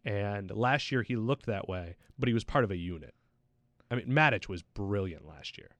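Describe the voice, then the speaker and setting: A man's voice: lowish voice, animated, normal speed, fairly bright tone, no room sound. Chatty man talking soccer, dry close sound. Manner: chatty, anecdotal, slangy. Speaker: soccer pundit on a talk show. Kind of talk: casual sports discussion.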